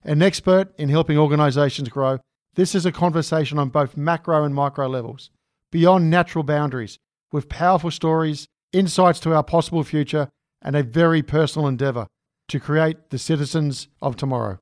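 Man, podcast host, fairly high pitch, dry close sound, measured delivery. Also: no echo. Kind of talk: scripted introduction and interview questions.

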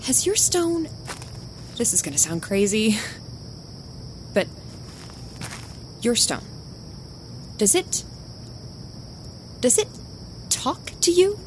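An actress playing an anguished, fragile young ex-girlfriend in an audio drama, pleading in a hushed, halting way. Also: fairly high pitch, big pitch swings. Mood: tentative, wistful. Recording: noisy, night insect ambience behind.

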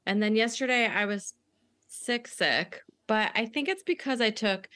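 A woman in her thirties co-hosting a podcast while stuffy and sore-throated from a cold, talking in a relaxed, conversational way. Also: on the high side, unhurried. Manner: relaxed, conversational, says "you know what I mean" often. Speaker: podcast co-host. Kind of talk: casual chat.

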